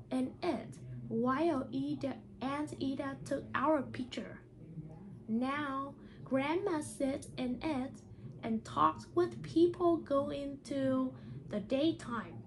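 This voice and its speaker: Slow, high-voiced woman, non-native English speaker. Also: background noise. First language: not English. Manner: careful, halting delivery with stumbles over words. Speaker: teacher reading a picture book aloud. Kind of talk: read-aloud storytelling and lesson explanation.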